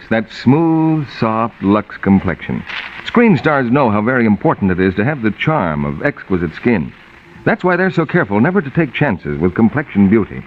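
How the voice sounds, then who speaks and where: A man with an American accent announcing and selling in the polished style of 1940s radio advertising. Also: mid-range voice. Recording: dull vintage radio recording, faint noise.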